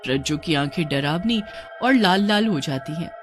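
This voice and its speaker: A woman's voice: deep voice, expressive, moderate pace, soft background music. A woman narrating a scripted cartoon story.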